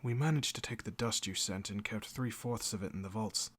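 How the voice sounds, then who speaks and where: A man's voice: fairly low pitch, brisk, slightly rough. A man acting a dutiful knight captain in a fantasy audio drama, giving reports in formal language.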